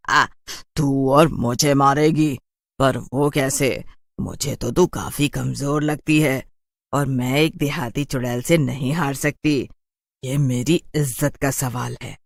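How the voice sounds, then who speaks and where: A woman's voice: extremely low pitch, no echo, clean studio sound, exaggerated voice. A woman playing a haughty, mocking city witch in a horror cartoon, with a taunting drawl.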